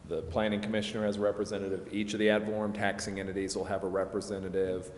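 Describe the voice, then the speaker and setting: A man's voice: sits low, little pitch movement, very fast. An American man, a lawyer advising a council, explaining informally with a hedging, deferential manner. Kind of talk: informal explanation at public meeting. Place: large indoor council chamber. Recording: very echoey noisy chamber.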